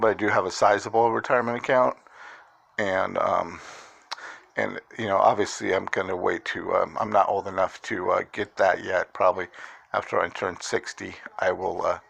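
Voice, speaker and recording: A man in his fifties talking casually through his investment portfolio, halting with many fillers and restarts. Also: low voice, fairly even intonation, gravelly, fairly bright tone. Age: 50s. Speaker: investing vlogger. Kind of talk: casual screen-share walkthrough.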